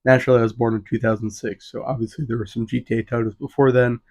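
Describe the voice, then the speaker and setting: Fast-talking young man rambling solo. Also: mid-range voice, level tone, a little mellow. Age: 20s. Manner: rambling, many fillers like "you know" and "um". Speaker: podcast host. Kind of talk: solo podcast monologue.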